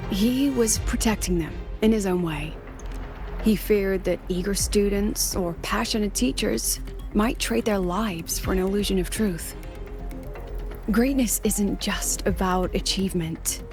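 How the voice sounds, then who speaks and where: A shrewd academy scholar in a game acted by a woman, composed and thoughtful. She explains in measured, poised phrases at an ordinary female pitch. Music is clearly audible behind her. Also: close to an everyday voice. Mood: solemn. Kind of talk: video game dialogue acting.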